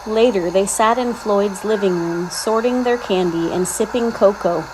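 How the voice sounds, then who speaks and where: A woman reading a children's story aloud with expressive, scripted narration. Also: mid-range voice, normal speed, background noise.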